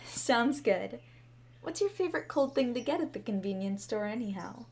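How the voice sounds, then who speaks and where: A woman's voice: on the high side, lively intonation, normal speed, a little mellow. A woman playing a shy, sweet schoolgirl with a crush in an ASMR roleplay, speaking in a bashful, hesitant, rambling way. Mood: friendly, eager. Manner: bashful, hesitant, chatty, giggly. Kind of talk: ASMR roleplay, scripted to the listener. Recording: clearly audible background ambience noise.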